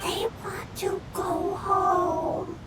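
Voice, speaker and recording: A woman voicing a small, gentle, childlike forest creature who speaks in broken, simple phrases. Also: extremely high pitch, steady tone, drawn-out words, heavy background noise, exaggerated voice. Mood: earnest.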